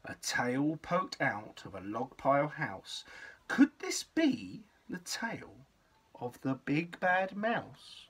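A man's voice: fairly high pitch, sing-song, slow, a bit bright, breathy edge. A man in his thirties, a father reading a bedtime story aloud in a theatrical, playful way. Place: small child's bedroom, indoors at a bunk bed. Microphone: phone recording at close range.

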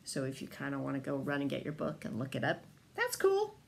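A middle-aged woman chatting casually to camera at home in a droll way with theatrical pauses, as an author giving trivia. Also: a bass voice, wildly swooping pitch, vocal fry. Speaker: children's author. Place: indoors, home room. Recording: faint room noise.

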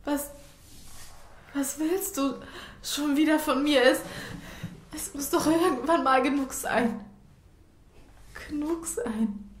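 An actress playing a grieving, betrayed young daughter in an audio drama, crying and speaking in halting, broken phrases. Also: female voice, high voice, steady tone. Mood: tearful, despairing. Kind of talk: German audio drama acting. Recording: echoey room, faint noise.

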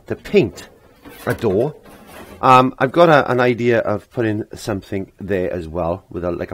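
A middle-aged man with a low, husky, croaky voice talks through a painting demonstration. He rambles casually, often repeating short phrases as if talking to himself while he works. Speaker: painting instructor. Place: indoor home art studio.

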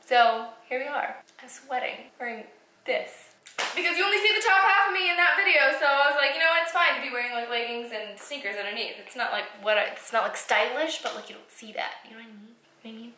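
A woman's voice: fairly high pitch, quick pace, reverberant, noisy background. A young woman in her twenties chatting playfully to the camera in a casual vlog while cooking in her apartment kitchen. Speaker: vlogger. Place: small apartment, living room and kitchen. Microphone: handheld camera at arm's length.

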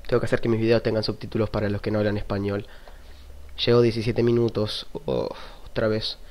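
A man's voice: on the low side, little pitch movement, a little fast, darkish tone. A young man from Argentina with an Argentine Spanish accent, chatting casually and jokily on a webcam vlog, swearing freely and mocking himself. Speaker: YouTuber. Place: small room indoors at a desk. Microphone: computer webcam mic.

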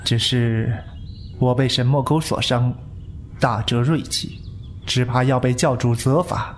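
A man's voice: medium pitch, steady tone, unhurried, slight background noise, natural voice. A man playing a possessive, coolly intense young heir of a martial-arts sect in a Chinese wuxia audio drama. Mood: cool, sardonic.

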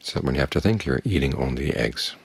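An older man teaching as a Buddhist monk, his voice very low and strongly husky. He speaks calmly and plainly, and the recording is close and dry. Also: fast talker, no echo. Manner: calm, plain-spoken, uses homely images. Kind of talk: evening dharma talk.

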